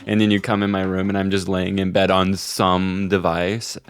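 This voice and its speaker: Joking, low-pitched man in casual chat. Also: completely flat delivery, moderate pace, clean tone, no echo. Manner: sweary, joking slang. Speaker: podcast co-host.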